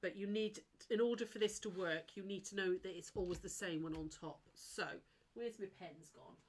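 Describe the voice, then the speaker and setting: A woman's voice: medium pitch, moderate pace, a little hiss or hum. A woman with a snuffly, blocked nose, hosting a casual live sewing tutorial and chatting with her viewers. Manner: chatty and apologetic, chats with live viewers while demonstrating. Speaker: sewing teacher hosting a live craft demo.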